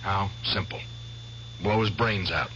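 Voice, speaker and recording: A man's voice: deep voice, unhurried. An actor playing an obsessed, vengeful male Korean War veteran in a 1970s radio drama, intense and insistent. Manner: intense, insistent, swings loud and soft. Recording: dull old radio broadcast with hiss.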